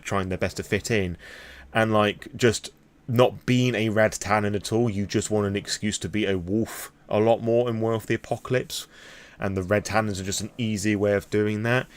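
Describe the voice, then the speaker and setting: A British man rambling candidly and off the cuff in a vlog, opinionated and swearing freely. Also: on the low side. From Britain. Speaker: vlogger on tabletop roleplaying lore.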